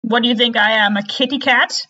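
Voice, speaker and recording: A woman voicing a nosy, sarcastic woman living on a space station, in a lower voice than her own. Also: steady tone, brisk, sharp tone, muffled recording. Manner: dry, sarcastic.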